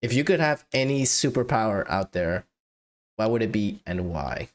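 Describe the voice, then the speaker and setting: A man's voice: lowish voice, animated, moderate pace. A man hosting a casual podcast interview, reading out listener questions in a playful, joking way. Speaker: podcast host.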